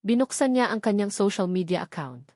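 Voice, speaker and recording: Filipino woman narrating a dramatic love story, mid pitch, really dry close recording. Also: expressive, brisk, dark tone, no room sound.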